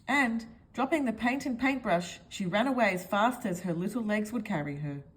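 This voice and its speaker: Very animated woman storyteller. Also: medium pitch, normal speed, poor audio. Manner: expressive, addresses young listeners with questions. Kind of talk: children's picture book read-aloud.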